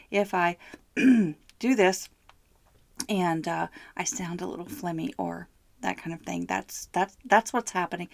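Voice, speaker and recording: A middle-aged woman hosting her own podcast, hoarse from bronchitis, speaking slowly, heartfelt and candid. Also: lowish voice, no room sound. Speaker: podcast host. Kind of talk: solo podcast monologue.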